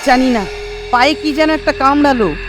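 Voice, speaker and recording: A man's voice: very high voice, moderate pace, music in the background. A man playing a young village lad in a cartoon horror story. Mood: frightened.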